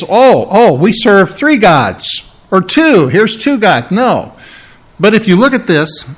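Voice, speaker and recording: A middle-aged man preaching a teaching sermon, explaining scripture with asides and humor and slowing down to stress key phrases. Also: high voice, fairly bright tone. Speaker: pastor.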